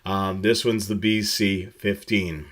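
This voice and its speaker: A man presenting a product tutorial, explaining gear slide by slide in a halting, steady, instructional way. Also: lowish voice.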